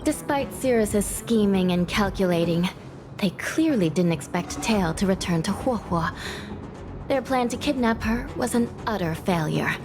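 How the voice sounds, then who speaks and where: A woman voicing a composed, resolute female judge in a video game, measured and authoritative. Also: mid-range voice, expressive, lightly acted. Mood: composed, determined. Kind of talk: scripted video game voice acting. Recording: voice under prominent music.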